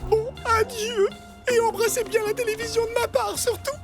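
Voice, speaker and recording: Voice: very high voice, steady tone, normal speed. A woman voicing a vain, whiny, theatrical young male boar in a cartoon, who fancies himself a sacred cat. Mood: sulky, coy. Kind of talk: animated cartoon dubbing. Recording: music behind the voice.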